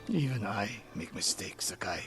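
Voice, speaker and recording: Stern old samurai master. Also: male voice, lowish voice, expressive, moderate pace, a bit bright. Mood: guarded, curt. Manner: stern, formal, clipped. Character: proud, bitter older samurai archery master, haunted by failure. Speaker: archery sensei. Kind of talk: dramatic video game voice acting. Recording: music behind the voice.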